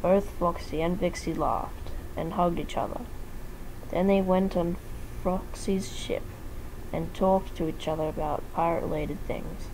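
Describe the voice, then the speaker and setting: Mellow, low-pitched woman narrating. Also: fairly even intonation, measured pace, a little hiss or hum, poor audio. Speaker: amateur reader and narrator. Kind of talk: fan fiction narration with casual asides.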